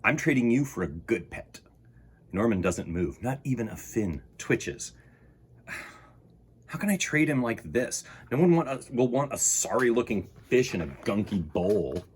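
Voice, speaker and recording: A man hosting story time, reading a children's picture book aloud in an expressive, emphatic way and talking directly to young listeners. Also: mid-range voice, a little slow, slight background noise.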